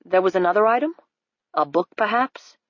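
A woman voicing a grave, dignified male magistrate who speaks in measured, solemn pronouncements. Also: mid-range voice, lively intonation, highs cut off, lightly acted. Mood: probing.

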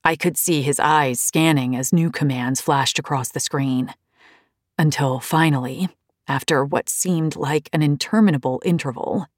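Low-voiced woman narrating a memoir. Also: unhurried, no room sound, clean studio sound.

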